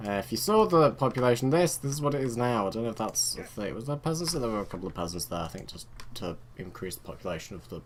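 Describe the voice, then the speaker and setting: A man's voice: on the low side, very animated, fast, few pauses, slight background noise. A man giving casual let's play commentary, rambling and muttering to himself as he plays, joking at his own expense. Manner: self-mocking, chatty.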